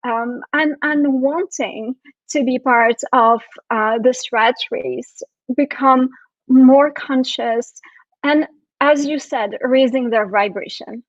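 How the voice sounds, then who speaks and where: A woman from France and Syria giving a reflective talk for an online expo, soft-spoken with frequent fillers. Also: high voice, fairly even intonation, slow pace, dry recording, lo-fi sound. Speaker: expo speaker, spiritual coach.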